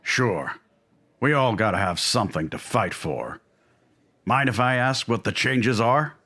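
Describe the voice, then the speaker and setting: A man's voice: medium pitch, animated, a little slow, bright, forceful tone. A man playing a guarded, principled newspaperman in a comic dub, wry and pointed as he questions people. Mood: wary, probing.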